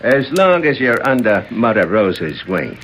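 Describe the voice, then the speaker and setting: A brassy American proprietor of a shady club in an old radio mystery. The voice is bright and forceful, fairly low, slangy and mocking, full of sardonic swagger. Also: male voice, very animated, measured pace, slight background noise, exaggerated voice. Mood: breezy, sly. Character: Rose, brassy, sardonic, tough owner of a shady nightclub. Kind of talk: 1970s American radio drama acting.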